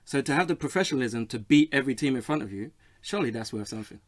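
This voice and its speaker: A man talking sport on a panel show. He speaks quickly and argues his side, recorded dry with no room around him. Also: medium pitch, lively intonation, dry recording. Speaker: panelist on a football fan show. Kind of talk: sports debate.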